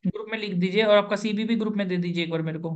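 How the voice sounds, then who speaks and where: A man working as an interview coach, running an online coaching session over a video call, brisk and instructive, repeating the key points. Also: high voice, fairly even intonation, highs cut off.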